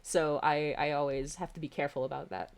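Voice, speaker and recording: A woman's voice: sits low, steady tone, brisk, gravelly, smooth. A woman hosting a casual livestream, chatting in a bubbly, giggly, rambling way. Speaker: livestream host.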